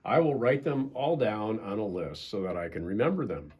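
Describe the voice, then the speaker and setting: A man's voice: on the low side, animated, normal speed, husky, muffled recording. A man voicing a fretful, earnest, literal-minded toad in a children's storybook, prone to wailing. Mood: earnest, satisfied. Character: fretful, list-obsessed toad, male, childlike storybook animal.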